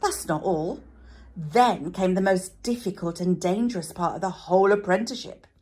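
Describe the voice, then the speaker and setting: A woman's voice: on the low side, normal speed, warm tone, natural voice. A woman voicing a stern, irritable master craftsman in a storybook, grand and scolding, with great emphasis. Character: stern, irritable father, master firework maker.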